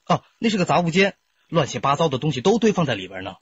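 A chatty young countryman talks with a lively, bouncing voice and a faint hiss behind it. The sound is dull and lo-fi, like broadcast radio drama. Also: on the high side, quick pace. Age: young adult. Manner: chatty, animated, defensive. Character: chatty young rural man, talkative and defensive.

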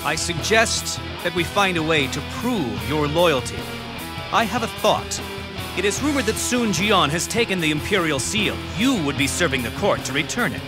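An actor playing a sly middle-aged court strategist in a video game, with a measured, insinuating manner. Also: male voice, fairly high pitch, expressive, over music, close to an everyday voice. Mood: scheming. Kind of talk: dramatic video game voice acting.

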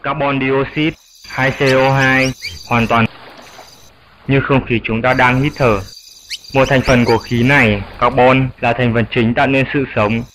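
Casting: old grandfather fruit bat played by a man for a cartoon, medium pitch, patient scripted explainer, slight background noise. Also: steady tone, fairly bright tone, lightly acted, low-quality recording. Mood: instructive. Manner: didactic, measured. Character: old grandfather flying fox explaining climate to youngster.

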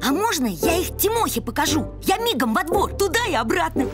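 A woman's voice: very high voice, sing-song, a little fast, loud music behind the voice. A woman voicing a boisterous, cheeky young boy puppy in a children's cartoon, speaking in slangy exclamations. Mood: matter-of-fact. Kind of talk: animated children's cartoon acting.

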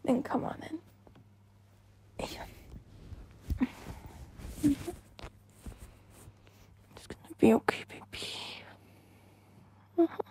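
Woman, high-pitched, mellow, hushed whispery delivery, breathy, tender girlfriend ASMR roleplay. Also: very slow, pauses often. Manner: soothing, hushed, tender, often slipping into whispers. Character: caring, affectionate girlfriend comforting her partner. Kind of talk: intimate ASMR roleplay, soft murmuring and whispering.

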